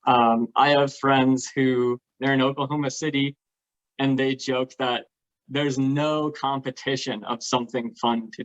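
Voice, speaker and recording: Enthusiastic man chatting, dull lo-fi sound. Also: medium pitch. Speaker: guest, LARP participant. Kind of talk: casual explanatory chat.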